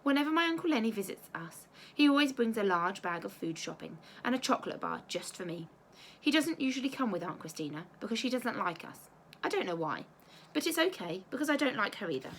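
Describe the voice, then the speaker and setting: A woman teacher reading a children's storybook aloud in an expressive, measured storytelling way. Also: medium pitch, slight background noise.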